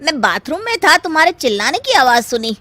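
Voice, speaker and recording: A woman's voice: high-pitched, big pitch swings, a little fast, a bit bright, dry recording, pitched above the performer's own voice. A woman voicing an easygoing, sceptical young man visiting as a houseguest in a story. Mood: teasing.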